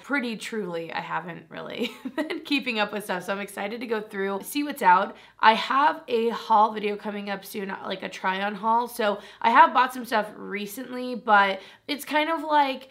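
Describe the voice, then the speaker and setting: Young woman, raspy gravelly voice, bubbly and rambling, beauty vlog chat, small room. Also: mid-range voice, fairly bright tone. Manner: bubbly, rambling, laughs often. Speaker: beauty vlogger. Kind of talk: chatty beauty vlog to camera. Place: small decorated room indoors.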